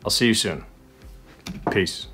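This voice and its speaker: A man's voice: slow pace, bright, forceful tone, faint music behind. A man talking to his viewers in a casual vlog, earnest and reflective, partly outdoors on a hike. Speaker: vlogger. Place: mix of indoors and outdoors on a hike.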